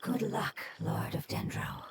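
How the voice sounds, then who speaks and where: A woman voicing an ancient elemental spirit creature in an anime game, whispery and breathy, solemn and formal in delivery. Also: unhurried, very noisy, natural voice. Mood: grave. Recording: loud music under the voice.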